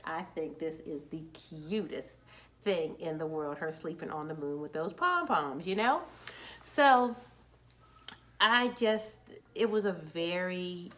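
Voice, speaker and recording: Chatty woman in her forties, lowish voice. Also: a bit bright. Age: 40s. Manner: chatty, upbeat, restarts words when nervous. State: a little nervous. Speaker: children's book author. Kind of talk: casual talk to camera.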